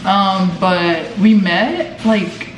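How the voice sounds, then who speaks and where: A man's voice: high-pitched, drawn-out words. An eighteen-year-old man with an American accent, chatting casually and ramblingly to camera with lots of filler words. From Omaha, Nebraska, United States. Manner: informal, rambling, heavy use of "like". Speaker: vlogger. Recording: echoey noisy room, distant mic.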